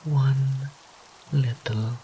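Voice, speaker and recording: A man voicing a seductive, arrogant incubus demon in an intimate roleplay audio drama, breathy and often whispering, with teasing pauses. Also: medium pitch, steady tone, dark tone, slight background noise, poor audio. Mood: teasing, coaxing. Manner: slow, teasing, drawn-out phrases with pauses.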